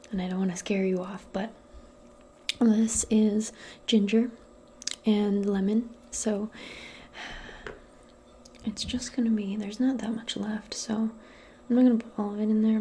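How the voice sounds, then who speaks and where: A woman speaks in a soft, breathy half-whisper, keeping her pitch very even and her pace slow. She plays a gentle modern witch in an unhurried, improvised ASMR roleplay, with faint noise behind her.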